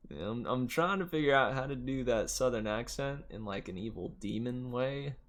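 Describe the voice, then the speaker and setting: A man's voice: mid-range voice, expressive, moderate pace, fairly bright tone. A man hosting a read-aloud, chatting in a casual, rambling, musing way with playful colloquial wording. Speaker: host reading a book aloud to viewers. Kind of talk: casual rambling chat with viewers.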